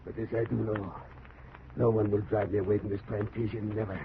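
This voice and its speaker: A man acts out an embattled plantation owner in a 1940s radio melodrama. His voice is creaky and agitated, stubborn and vengeful with fear underneath. The recording is dull and clearly noisy. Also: on the low side, flat delivery, fairly quick, lightly acted. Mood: furious, vengeful. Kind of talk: 1940s radio drama acting.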